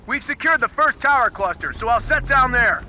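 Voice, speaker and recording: Military game pilot, male: clipped, urgent, extremely high-pitched and forceful, slight noise. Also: expressive, normal speed, full character voice, poor audio. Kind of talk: video game cutscene acting.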